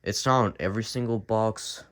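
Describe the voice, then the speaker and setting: A young man with a cold talks to the camera in a very low, raspy voice, coughing now and then. He rambles, repeats himself and restarts his sentences as he points things out in his basement. The sound comes from a handheld camera down in the basement. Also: normal speed, a bit bright. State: sick, coughing. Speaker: vlogger. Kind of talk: casual home vlog tour. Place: home basement, indoors.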